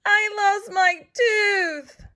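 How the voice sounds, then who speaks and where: A woman voicing an excitable, worried young boy in a children's storybook, exclaiming dramatically. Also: very high voice, drawn-out words, voice pushed up, heavily acted. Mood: upset, wailing. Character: excitable young boy, worried over his lost tooth. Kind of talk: children's storybook read-aloud.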